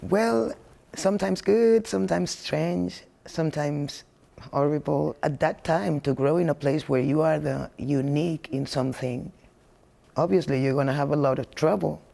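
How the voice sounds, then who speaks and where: A woman's voice: low voice, moderate pace, creaky, mellow. A woman from Spain, a native Spanish speaker, answering interview questions emphatically, repeating herself and saying "you know" often. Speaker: interviewed singer.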